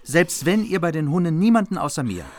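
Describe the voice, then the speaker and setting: A man's voice: on the high side, animated, fairly quick, darkish tone, close to an everyday voice. A man playing an earnest, courteous margrave pleading as an envoy in a German radio play. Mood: consoling, persuasive. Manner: formal, courteous, persuasive. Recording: music behind the voice. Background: music.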